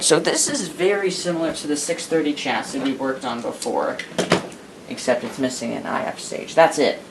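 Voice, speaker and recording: A man talking casually while he restores an old radio, thinking aloud and making chatty asides as he works. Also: a little high. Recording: noisy workshop recording.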